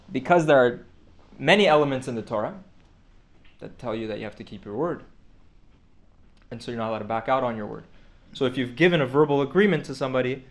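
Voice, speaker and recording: A man teaching a class, explaining points at length with frequent restarts and fillers. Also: medium pitch. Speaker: teacher of Torah law. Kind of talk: classroom lecture.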